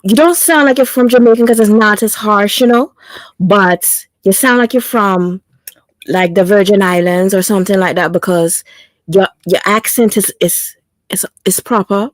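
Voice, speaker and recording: A woman's voice: mid-range voice, moderate pace, dry recording. A woman chatting casually, rambling and often restarting her sentences. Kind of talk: casual chat.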